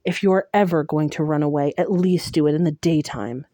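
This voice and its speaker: A woman voicing a low, stern male fae lord. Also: fairly quick, no echo, clean studio sound. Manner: cold, stern, low and quiet.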